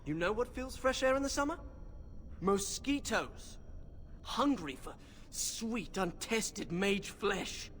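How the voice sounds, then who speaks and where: A man's voice: high-pitched, lively intonation, slow pace, fairly bright tone, slight background noise, exaggerated voice. An actor playing a bookish young male mage in a video game, grumbling with dry humor about the outdoors.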